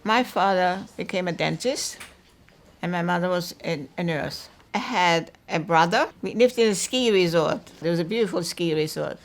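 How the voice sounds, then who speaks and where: A woman's voice: lowish voice, moderate pace. A woman, fondly recalling her childhood. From Austria. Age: 90s. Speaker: interviewee.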